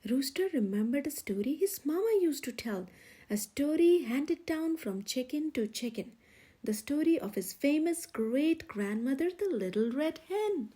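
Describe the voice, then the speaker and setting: A woman reading a children's storybook aloud as an expressive narrator. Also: high voice, unhurried. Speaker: read-aloud storyteller, channel host.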